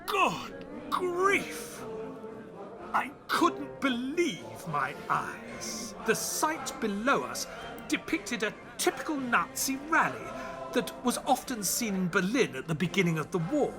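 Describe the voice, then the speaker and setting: Earnest excitable sidekick telling his story, radio play style, pretty high pitched, music behind him. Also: slow pace, full character voice. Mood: shocked. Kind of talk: dramatic narration and dialogue of an audio play.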